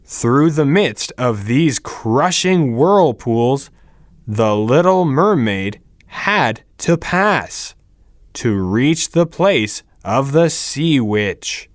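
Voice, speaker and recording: A man reading English words and fairy-tale text as slow, carefully enunciated scripted narration. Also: medium pitch, expressive, dry recording, clean studio sound.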